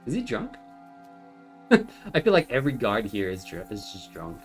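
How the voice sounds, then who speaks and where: A man's voice: on the low side, huge pitch swings, moderate pace, faint music behind. A streamer whose first language is French, chatting casually and laughing easily while he plays. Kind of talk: casual gameplay chat.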